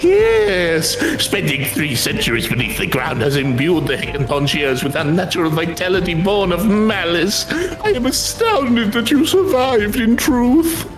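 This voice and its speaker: A woman's voice: on the low side, animated, a little slow, music in the background, rough recording. A woman voicing a pompous, menacing male alchemist henchman in a fantasy game, with an abrupt, commanding, theatrical manner. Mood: gloating, obsessive. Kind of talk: acted reading of fantasy game dialogue.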